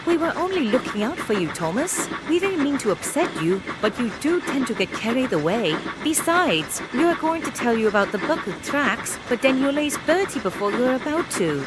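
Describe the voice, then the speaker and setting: A woman's voice: high voice, sing-song, normal speed, few pauses, heavy background noise. A woman voicing a sensible, caring but scolding railway carriage in a cartoon dub, motherly and exasperated. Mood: apologetic. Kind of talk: animated character dub.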